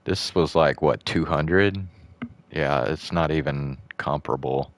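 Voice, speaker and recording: A man's voice: a bass voice, a little slow, no room sound. A young man streaming a game, chatting casually in a rambling, blunt way and swearing freely. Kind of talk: casual gameplay commentary.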